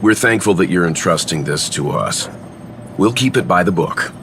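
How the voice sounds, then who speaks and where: An actor playing a calm, courteous and logical male investigator in a video game, speaking in a measured, analytical way. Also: low voice. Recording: music under the voice.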